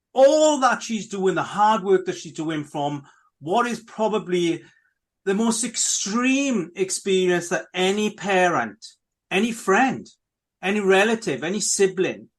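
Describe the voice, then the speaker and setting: Man, high voice, chatty radio host, talks straight to listeners, repeats himself. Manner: chatty, repetitive, keeps addressing listeners. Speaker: podcast host. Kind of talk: casual radio chat.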